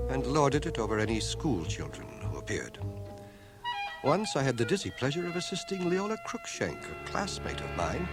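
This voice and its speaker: An actor playing a grown man recalling his boyhood with wry humor, as scripted radio drama narration. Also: fairly low pitch. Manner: wry, measured storytelling. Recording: old radio cassette with music underneath. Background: music.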